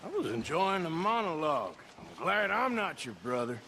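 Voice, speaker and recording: An actor playing a dry, sardonic outlaw gunman in a video game, with deadpan sarcasm. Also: a little high, moderate pace, noisy background. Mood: wry. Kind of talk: video game cutscene acting. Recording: loud music behind the voice.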